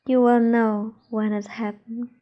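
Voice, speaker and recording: High-voiced slow woman guiding meditation. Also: level tone, lo-fi sound. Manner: soothing, unhurried, gentle instructions with pauses. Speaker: meditation teacher, channel host. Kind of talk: guided meditation.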